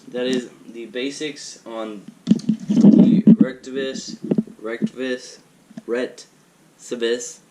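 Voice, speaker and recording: A man giving a casual unboxing demonstration in a halting way, often repeating and correcting himself. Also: mid-range voice. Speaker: product reviewer. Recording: noisy recording with loud background noise and clicks.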